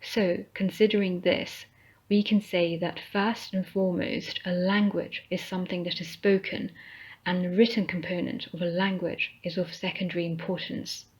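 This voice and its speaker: A British woman, an English teacher, narrating a scripted lesson in a slow, careful, measured way. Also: fairly low pitch, little pitch movement, slightly rough. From Britain. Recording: dull lo-fi recording.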